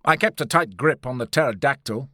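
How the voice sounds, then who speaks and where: A man's voice: medium pitch, brisk, bright, forceful tone, no room sound, studio quality, voice pushed up, close to an everyday voice. A man voicing a hot-tempered, scornful scientist and adventurer in an audiobook story, speaking emphatically and indignantly. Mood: bitter.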